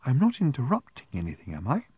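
A man voicing a prim, genteel middle-aged woman selling raffle tickets in a storybook, speaking slowly with a cloying, sighing sweetness. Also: medium pitch, huge pitch swings, darkish tone, husky, no echo, voice pushed up, full character voice. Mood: confidential, coy.

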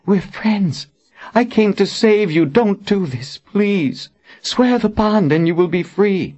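Guy doing a woman sisterhood leader, high voice, earnest and urgent, audiobook. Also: animated, moderate pace, darkish tone, highs cut off, natural voice. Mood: pleading. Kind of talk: audiobook character acting.

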